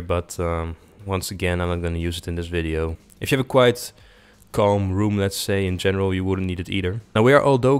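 Fast-talking, low-voiced man. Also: smooth. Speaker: tutorial host. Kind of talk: casual software tutorial narration. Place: indoor room with little acoustic treatment.

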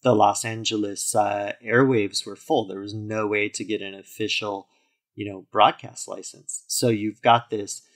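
A man's voice: fairly low pitch, little pitch movement, measured pace. A man in his forties, a podcast guest, telling his story fluently with frequent "you know" fillers. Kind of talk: interview conversation.

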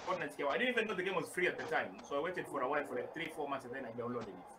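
Fast-talking young Kenyan man on a call. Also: a little high, far from the mic, noisy background. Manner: animated, gestures with hands while telling his story. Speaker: guest gamer YouTuber. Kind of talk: casual chat. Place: small room at home, indoors. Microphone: headset on a video call.

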